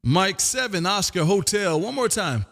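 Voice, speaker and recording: American man, higher-pitched, in heavy reverb. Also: big pitch swings, measured pace, a crowd in the background. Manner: folksy, repeats his phrases. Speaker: amateur radio operator and live stream host. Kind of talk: casual ham radio chat.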